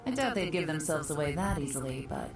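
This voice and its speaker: A low-voiced, cool spy. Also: female voice, sing-song, brisk, distant mic. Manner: cool, knowing, dry. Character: sly, self-assured female spy. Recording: roomy game audio with music.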